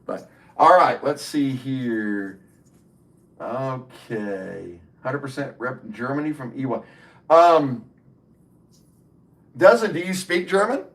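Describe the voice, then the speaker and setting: A man's voice: medium pitch, lively intonation, slow, pauses often. Chatty middle-aged man on a livestream. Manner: chatty, answers chat comments by name. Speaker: livestream host. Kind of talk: casual live stream chat.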